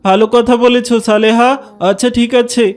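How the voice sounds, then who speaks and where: A man's voice: very high-pitched, fairly even intonation, moderate pace, clear, resonant tone. A man voicing an anxious middle-aged father in a children's cartoon. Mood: worried. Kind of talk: animated cartoon dialogue.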